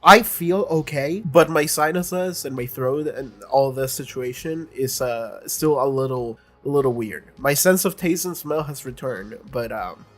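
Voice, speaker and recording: A man's voice: medium pitch, unhurried, few pauses, fairly bright tone. A young man with a congested voice, hosting a casual reaction video and chatting bluntly, with plenty of swearing and laughter.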